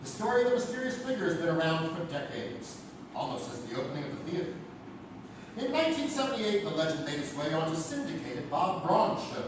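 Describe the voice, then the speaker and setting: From far off in a very echoey theatre with music behind comes a man as a solemn mystery storyteller. His high voice swings widely through formal, theatrical narration for a radio drama. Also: big pitch swings, fairly quick, background noise. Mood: solemn, intriguing. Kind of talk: dramatic scripted narration in a live radio play.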